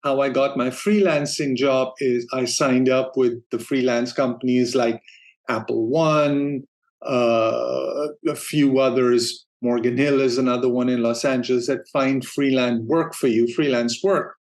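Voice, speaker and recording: A man from Denmark, around his forties, a guest in an interview, telling his career story in a relaxed, easygoing way with frequent restarts and easy laughter. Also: mid-range voice, measured pace. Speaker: guest, streaming operations manager. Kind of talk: casual interview talk.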